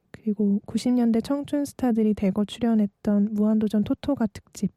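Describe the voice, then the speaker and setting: Soft-spoken young woman DJ, dark tone. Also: fairly high pitch, no echo. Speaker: radio DJ. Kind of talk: late-night radio DJ script.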